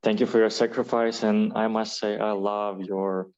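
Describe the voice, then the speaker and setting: A man's voice: fairly low pitch, flat delivery, moderate pace, darkish tone, a little hiss or hum. A man from Ukraine, the club president, hosting an online meeting with a hesitant, friendly manner. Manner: hesitant, friendly, many fillers. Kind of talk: online meeting host chat. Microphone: video call. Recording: dull lo-fi call audio.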